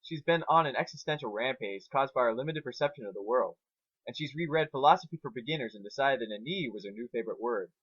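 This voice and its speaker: A man's voice: mid-range voice, animated, quick pace, a bit bright, slightly rough, no echo. A long-suffering young male dragon as a man reads him, explaining and complaining in wordy, weary fashion. The intonation moves around a lot. The story is recorded close and dry, a bit lo-fi. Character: put-upon, deadpan young male dragon sidekick.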